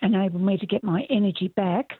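Hoarse woman caller on a phone line. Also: mid-range voice, fairly even intonation, quick pace, no room sound. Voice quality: croaky, hoarse voice. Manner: lists details with many fillers. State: frustrated. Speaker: radio caller.

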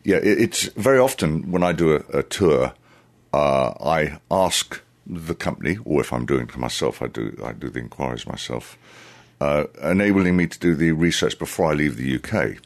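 A man answering interview questions as a tour guide, speaking in a deliberate, halting, conversational way. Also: rumbling low, expressive, vocal fry. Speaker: tour guide, radio guest.

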